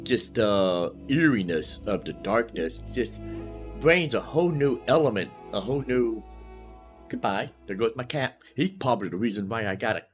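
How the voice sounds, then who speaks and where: A man's voice: fairly low pitch, expressive, moderate pace, faint music behind. A man hosting a casual game livestream, rambling in a folksy way and repeating phrases for emphasis. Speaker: live streamer, gaming host.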